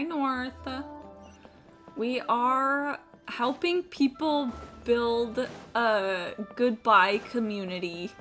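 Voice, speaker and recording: A woman's voice: on the high side, pushed and bright. A woman livestreaming a game with casual, sweary, self-deprecating commentary, thinking aloud. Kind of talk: casual live gaming commentary.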